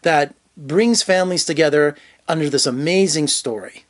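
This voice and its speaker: A film critic hosts in an upbeat, promotional way. His voice sits a little high for a man. He keeps the questions friendly. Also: normal speed. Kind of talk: interview host.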